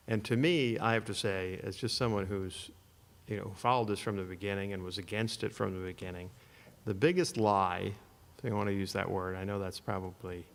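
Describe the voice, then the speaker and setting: A man's voice: fairly low pitch, vocal fry, in a large hall. A man giving a conversational conference talk, full of restarts and asides. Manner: conversational, hesitant with many restarts. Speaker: panel speaker. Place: conference hall.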